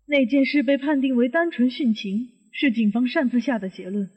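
An actress playing a suspicious middle-aged aunt who questions and reasons step by step in a Chinese audio detective drama. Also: female voice, sits high, moderate pace, breathy edge. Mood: suspicious, probing. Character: inquisitive, suspicious middle-aged aunt probing a supposed suicide. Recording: muffled, long hall reverb.

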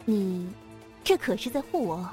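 A woman's voice: mid-range voice, lively intonation, unhurried, darkish tone, faint music behind, close to an everyday voice. A woman playing a proud, capricious female martial sect leader in a Chinese wuxia radio drama, haughty and teasing. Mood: teasing, softened.

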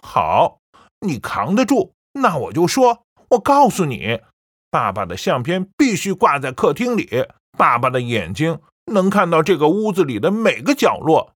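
A woman's voice: lowish voice, big pitch swings, moderate pace, no echo, clean studio sound. A woman voicing a defiant young man who talks back to his mother in a cold, scornful, lecturing way.

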